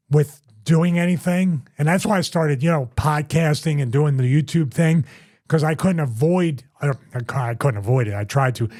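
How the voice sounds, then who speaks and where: A man's voice: fairly high pitch, darkish tone. A middle-aged man hosting a casual podcast, telling long rambling stories with restarts and repeats. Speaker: host, former wrestler.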